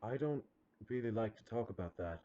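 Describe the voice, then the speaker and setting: Man acting a dark-voiced, haunted old-time radio star. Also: lowish voice, lively intonation, natural voice. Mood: guarded, haunted. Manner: measured, formal, firm. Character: troubled, guarded male 1930s-40s radio actor, haunted by past. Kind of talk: period radio drama acting. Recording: dull vintage tape recording, music behind.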